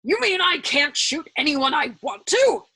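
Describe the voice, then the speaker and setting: A woman's voice: sits high, big pitch swings, measured pace, sharp tone, no room sound, pitched above the performer's own voice, full character voice. A woman voicing a domineering, furious male general in a storybook, roaring out terse, stern orders. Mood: enraged, bellowing.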